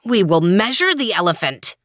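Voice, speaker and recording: A woman's voice: mid-range voice, big pitch swings, normal speed, a bit bright, dry recording, studio quality. A woman voicing a scoffing male court official who was once a tailor, in a storybook reading. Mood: pleased.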